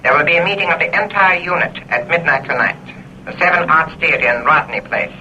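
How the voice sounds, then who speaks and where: Commanding spy chief, clipped, raised pitch, 1940s radio acting, muffled hissy sound. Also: male voice, a little fast, pushed and bright. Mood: urgent, authoritative. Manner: clipped, authoritative. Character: music-hall mimic impersonating a commanding male spy chief.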